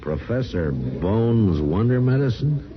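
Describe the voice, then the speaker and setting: A muffled vintage radio western with crowd noise behind. a weary, steady U.S. marshal with a deep voice. He is laconic, wry and authoritative. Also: slow pace. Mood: wry amusement. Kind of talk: old-time radio western drama acting.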